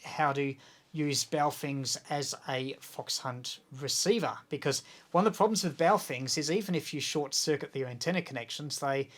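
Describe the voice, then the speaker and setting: A man's voice: a little high, normal speed, a bit bright. A man with an Australian accent explaining practical things in a casual interview, with an enthusiastic, practical manner. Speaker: guest, amateur radio video maker and author.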